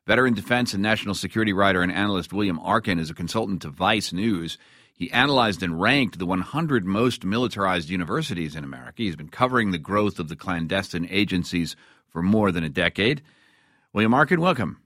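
Deep voiced guy hosting a news interview, dry sound no room. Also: animated, fast, a bit bright, no room sound. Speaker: interview host.

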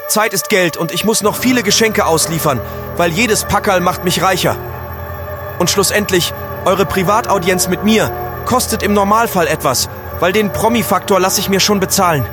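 A man's voice: high-pitched, moderate pace, music in the background, close to an everyday voice. A man voicing a greedy, rude Santa Claus with an Austrian accent in a comic audio play. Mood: snide, demanding. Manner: brash, mercenary, vulgar.

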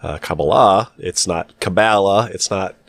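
Deep-voiced man chatting in a dry room. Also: unhurried, creaky, no echo. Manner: relaxed, hesitant, many fillers. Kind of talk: casual podcast conversation.

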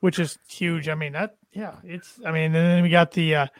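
A man co-hosting a casual podcast, chatty and quick to agree. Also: on the high side, fairly even intonation, dry recording, clean studio sound. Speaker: podcast co-host. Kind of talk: casual wrestling podcast chat.

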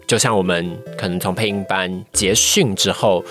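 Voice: low-pitched, fairly even intonation, moderate pace, a bit bright, faint music behind. A young adult with a Taiwanese accent, answering as a podcast guest in a careful, thoughtful, casual way. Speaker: guest, voice-acting trainee.

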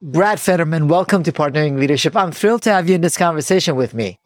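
A male podcast host with a fairly high voice introduces his guest with polished enthusiasm. His questions come across smooth and practiced. The recording is dry and close. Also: animated, fast, no room sound. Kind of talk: podcast interview hosting.

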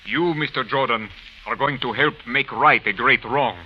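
A man's voice: mid-range voice, normal speed, a little hiss or hum, close to an everyday voice. An actor playing a big, stolid, menacing Egyptian watchman, terse and formal, in 1950s radio drama style. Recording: muffled vintage radio recording.

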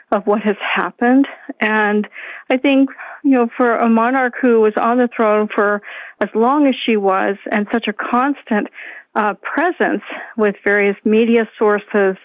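A woman's voice: medium pitch, steady tone, measured pace, dry recording. A middle-aged woman professor explaining grief in a thoughtful way, heard over a phone line on the radio. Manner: thoughtful, explanatory, with some restarts. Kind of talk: radio interview.

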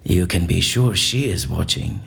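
An actor playing an eccentric, erudite man in an audio drama, speaking in a florid, pompous and animated way. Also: low-pitched, a little slow, gravelly, exaggerated voice. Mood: haughty, playful. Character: eccentric, theatrical, erudite man, convinced his interrogation is staged.

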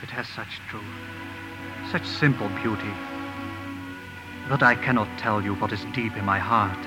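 An actor playing a shy, humble professor with a foreign accent, speaking formally and hesitantly in a 1950s radio drama. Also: male voice, on the low side, a little slow, lightly acted, low-quality recording. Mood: tender, hesitant. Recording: old radio broadcast with music.

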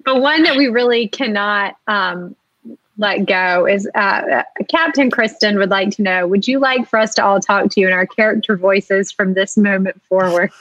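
A woman's voice: mid-range voice, moderate pace, fairly bright tone, no room sound, dull audio. A woman co-host reading out audience questions in a casual live group chat.

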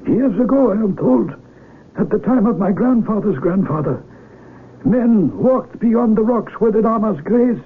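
Husky, high-voiced village elder. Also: male voice, lively intonation, measured pace, heavily acted. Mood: grave, questioning. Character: father, learned elder of an isolated mountain valley village. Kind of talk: old-time radio drama acting. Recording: tinny, band-limited radio drama broadcast.

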